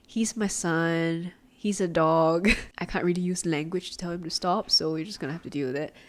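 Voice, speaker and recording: A young Singaporean woman in her twenties talks through her solo podcast from her own apartment, casual and chatty. Her voice sits a little low for a woman, and she fills her sentences with "like" and quick asides. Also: fairly even intonation. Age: 20s. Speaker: podcast host.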